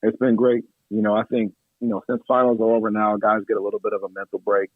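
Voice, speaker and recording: A man with a hoarse, raspy voice talks over a tinny phone line. He is an assistant coach answering questions in a steady, measured way, often pausing on fillers. His voice sits low with a gravelly edge. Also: dry recording. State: hoarse from yelling at practice. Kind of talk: radio interview answers.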